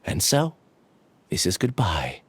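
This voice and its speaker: Solemn, resigned researcher, expressive. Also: male voice, low voice, slight background noise, heavily acted. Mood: resigned farewell. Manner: solemn, deliberate, confessional. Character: resigned male researcher, apologetic, yielding to a hive mind. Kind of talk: dramatic video game voice acting. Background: background game music.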